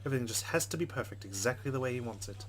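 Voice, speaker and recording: A man's voice: mid-range voice, animated, racing. A man reading a visual novel aloud as a let's player, slipping between narration and casual commentary.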